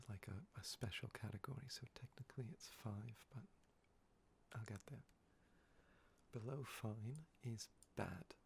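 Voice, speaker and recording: A hoarse man talks in a low, gravelly murmur that often drops to a whisper, rambling unhurriedly through his thoughts with frequent ums and pauses. Faint noise sits under the recording. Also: darkish tone. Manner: rambling, halting, with many fillers. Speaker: ASMR creator. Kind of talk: soft-spoken ASMR talk, whispering.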